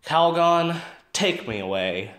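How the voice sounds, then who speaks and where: A man's voice: a little high, expressive, slow pace. A man playing a sarcastic, reluctant teenage boyfriend, with dry and skeptical delivery.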